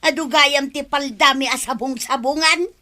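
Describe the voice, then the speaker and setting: A woman's voice: sits high, moderate pace, bright, forceful tone. A woman playing a nosy, cheeky village woman in an Ilocano comedy drama, exclaiming in an exaggerated way. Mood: complaining.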